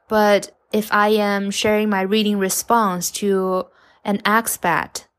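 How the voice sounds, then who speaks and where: Woman, measured and reflective. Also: mid-range voice. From China. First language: Chinese. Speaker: guest writer.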